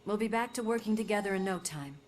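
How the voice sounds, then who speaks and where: An injured policewoman, acted by a woman in a video game scene, with a medium-pitched voice. She is loyal and earnest, talking with natural concern over faint background noise. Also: animated, fast, darkish tone, natural voice. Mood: earnest, tired. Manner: earnest, conversational. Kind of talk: video game cutscene acting.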